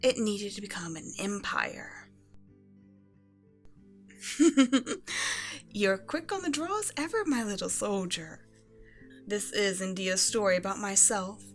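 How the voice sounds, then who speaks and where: A woman playing a regal evil empress and doting mother in an ASMR bedtime roleplay, speaking in a measured, tender, condescending way to a child. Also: mid-range voice, expressive, a bit bright. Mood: stately storytelling, then amused, proud. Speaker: audio roleplay performer. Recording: music behind the voice.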